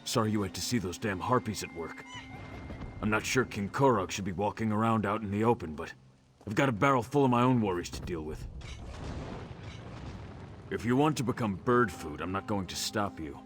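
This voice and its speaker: Man as a low-voiced, gruff orc siege commander. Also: normal speed, long pauses, lightly acted. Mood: weary, blunt. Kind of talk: video game character dialogue. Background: music.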